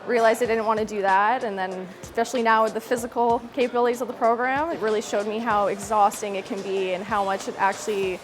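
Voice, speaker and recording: A woman's voice: medium pitch, steady tone, quick pace, hardly any pauses. A woman in a testimonial interview, speaking in a reflective, thoughtful way about her program and her plans. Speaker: trades program student. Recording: music playing underneath.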